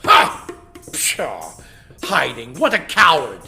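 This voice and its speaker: A storyteller voicing an angry, boastful male lion king, high and loud with a blustering manner. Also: sing-song, slow pace, creaky, soft background music, full character voice. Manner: roaring, blustering.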